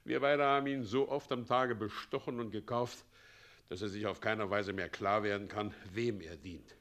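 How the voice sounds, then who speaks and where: An actor playing a scheming, pompous middle-aged president in a German radio play, swinging theatrically from commands to wheedling. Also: male voice, fairly low pitch, fairly even intonation, normal speed, a bit bright. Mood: smug, scheming. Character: scheming, pompous tyrant president, doting mockingly on his son.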